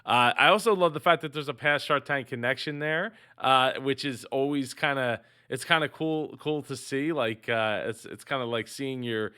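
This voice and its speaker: A man's voice: mid-range voice, bright, forceful tone, smooth. A man hosting a casual reaction show, talking with enthusiasm, with lots of fillers and restarts. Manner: halting, many fillers and restarts; upbeat and enthusiastic. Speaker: business reaction host.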